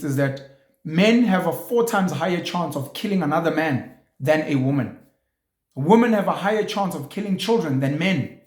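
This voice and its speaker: A man with a slightly high voice delivers a blunt, opinionated monologue as a show host. He pushes hard with rhetorical questions and emphatic stresses. The sound carries an echoey room.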